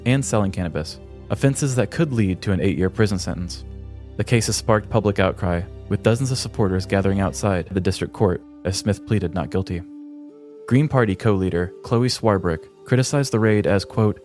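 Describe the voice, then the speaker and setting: Male narrator for comic true-crime storytelling: low-pitched, scripted, dramatic, with faint music underneath. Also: a little mellow. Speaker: podcast host.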